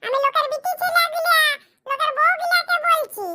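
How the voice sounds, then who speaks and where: A man voicing a boastful young cartoon sari seller with a rural West Bengali accent and a pitched-up, emphatic voice. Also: steady tone, normal speed, background noise. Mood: eager sales pitch. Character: boastful, squeaky young bachelor selling saris online. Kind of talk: comic cartoon dialogue.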